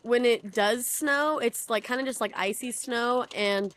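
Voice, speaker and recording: Young woman from Texas, slightly high voice, casual vox-pop. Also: unhurried, fairly bright tone. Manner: casual, filler-heavy. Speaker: interviewee.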